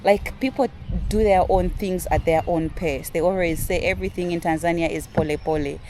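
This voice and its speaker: Ugandan-accented young woman, chatty and excitable vlog talk, lowish voice, faint traffic hum outdoors. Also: fairly quick. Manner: excitable, chatty, addresses viewers as "you guys". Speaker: vlogger. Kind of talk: casual vlog talk to camera. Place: outdoors in a garden.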